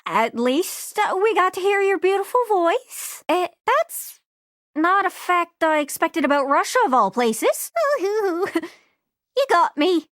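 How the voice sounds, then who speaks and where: A woman playing an excitable, sweet, quirky young-sounding living globe in a video game, chatty, goofy and gushing. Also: extremely high pitch, dry recording, clean studio sound, heavily acted. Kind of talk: video game character voice acting.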